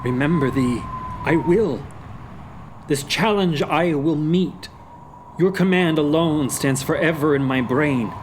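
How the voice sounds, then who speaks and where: A man's voice: a little high, slow pace, a little hiss or hum. A man voicing a brooding, defiant young prince in a dramatic, declamatory storytelling style. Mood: resolute.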